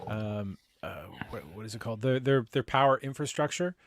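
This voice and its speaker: A man's voice: mid-range voice, brisk, no echo. Rambling man, dry close sound. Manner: rambling, hesitant, many fillers and restarts. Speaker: chat show co-host. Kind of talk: casual video chat.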